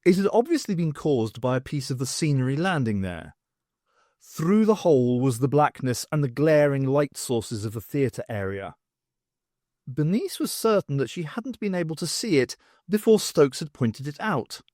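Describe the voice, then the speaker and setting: A man reading a scripted story as an audiobook narrator, with expressive delivery. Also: on the high side, moderate pace, no room sound, clean studio sound.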